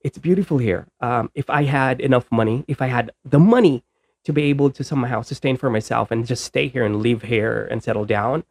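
A man's voice: mid-range voice, a little fast, no room sound, studio quality. A man, a Bisaya speaker, hosting a casual interview and talking in a rambling way with many fillers. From Philippines. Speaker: podcast host.

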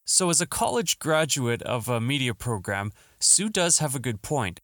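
A man's voice: medium pitch, sing-song, moderate pace, a bit bright, no room sound. A man hosting a podcast from his home studio, talking in an upbeat, earnest tone while his throat is still scratchy from the flu. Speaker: podcast host. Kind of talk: solo podcast monologue.

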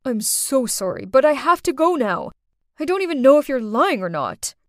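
A woman's voice: high-pitched, sing-song, normal speed, no echo, studio quality. A woman voicing a worried father who speaks anxiously and apologetically. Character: worried father, soft-spoken man.

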